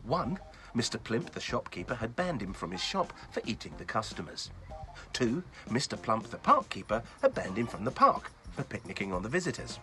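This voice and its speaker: A man hosting and reading a children's bedtime story in a playful, expressive, child-directed way. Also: medium pitch, normal speed, soft background music. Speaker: children's story reader and host.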